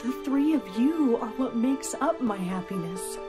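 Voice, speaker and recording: A woman's voice: fairly high pitch, lively intonation, a little slow. A woman acting an anguished, devoted middle-aged mother in a game cutscene, earnest and sorrowful. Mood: tender, solemn. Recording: background music under the voice.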